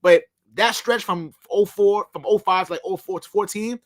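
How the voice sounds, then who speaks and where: A man's voice: high-pitched, normal speed. A man in his late twenties telling sports-fan stories in casual chat, earnest and repetitive. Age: 20s. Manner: earnest, repeats himself. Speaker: podcast co-host.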